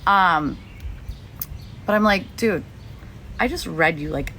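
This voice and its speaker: A young woman from the United States talks on a casual livestream in a lowish voice, rambling and candid with lots of likes and ums. She swears freely. Faint outdoor noise sits under her phone audio. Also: animated, slow, bright, forceful tone. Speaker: livestream host. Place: outdoors at a beach or lake.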